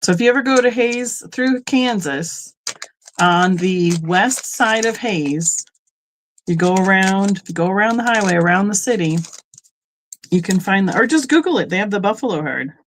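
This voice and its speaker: A woman's voice: mid-range voice, lively intonation, moderate pace, a little hiss or hum, low-quality recording. A middle-aged woman from Colorado hosting a live online sale, chatting casually and rambling into asides with frequent ums. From Colorado, United States. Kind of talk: casual live-stream selling chat.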